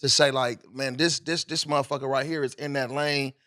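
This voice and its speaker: A man's voice: mid-range voice, fairly even intonation, a little fast, sharp tone, no echo, clean studio sound. A man hosting a podcast, asking questions in a laid-back, slangy way and swearing freely. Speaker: podcast host. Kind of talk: casual podcast interview.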